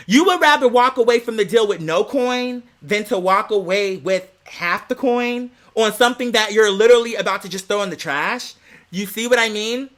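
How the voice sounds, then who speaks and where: Bright-voiced, sassy, animated storyteller. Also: mid-range voice. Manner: sassy, slangy, emphatic, peppering talk with "girl"; theatrical, acts out quoted dialogue. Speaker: vlog host telling a personal story. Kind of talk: animated gossipy storytelling vlog.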